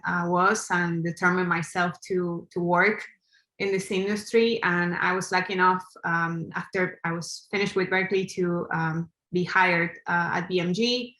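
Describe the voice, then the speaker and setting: Woman from Argentina, panel guest, low voice, flat delivery, echoey webcam sound. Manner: friendly, halting, with many fillers. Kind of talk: casual self-introduction. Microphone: webcam on video call.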